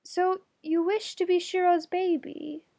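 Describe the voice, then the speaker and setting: A woman acts out an earnest young woman in an audiobook story, high in pitch and formal in phrasing. She sounds measured, kindly and utterly sincere as she counsels. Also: fairly even intonation, gravelly, warm tone, heavily acted. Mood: sincere, compassionate.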